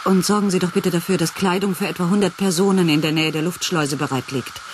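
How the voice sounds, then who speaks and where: An actress playing a commanding, determined project leader of a research station, brisk and polite, in a science fiction radio play. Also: female voice, fairly low pitch, steady tone, natural voice. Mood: businesslike, polite. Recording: clear background noise and ambience.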